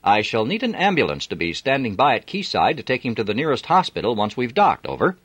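A man voicing a gruff, formal middle-aged ship's captain in an audiobook. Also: medium pitch, huge pitch swings, fast talker, dry recording, voice pushed up.

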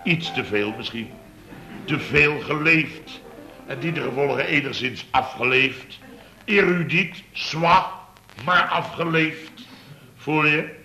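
Blustering boss, very sharp, forceful voice. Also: male voice, fairly high pitch, slow, faint music behind, full character voice. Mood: pompous, enthusing. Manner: blustering, stammering, repeats himself. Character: blustering, pompous middle-aged male building-firm boss. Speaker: actor in a radio comedy serial. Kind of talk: Dutch radio comedy serial acting, around 1970. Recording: slightly noisy vintage radio recording.

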